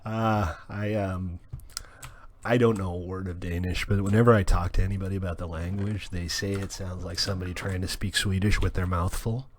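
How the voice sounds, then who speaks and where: Rambling, low-voiced man streaming a game. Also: little pitch movement, brisk, a little hiss or hum. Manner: rambling and wry, with many fillers, reading chat aloud. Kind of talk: casual live-stream chat while playing.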